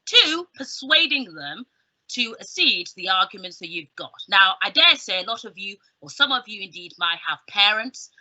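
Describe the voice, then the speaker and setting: Very bright-voiced southern English woman, animated. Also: mid-range voice, normal speed. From southern England. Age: middle-aged. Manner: chatty, humorous asides, emphatic. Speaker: barrister, guest speaker. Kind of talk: informal careers talk to students. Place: small indoor room with bookshelves, on a video call. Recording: slightly dull video-call sound.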